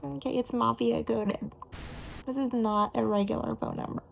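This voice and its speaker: A woman with an American accent voicing a ditsy, dramatic young abductee in a whispered ASMR role play, breathy and prone to whining complaint. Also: a little high, fairly even intonation, normal speed, slight background noise. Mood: earnest, conspiratorial.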